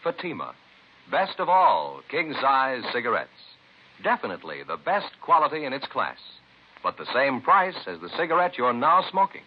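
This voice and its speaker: A man's voice: wildly swooping pitch, normal speed, husky. An American man announcing a commercial in emphatic 1950s radio advertising style. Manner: emphatic sales pitch. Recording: muffled old radio recording, faint noise.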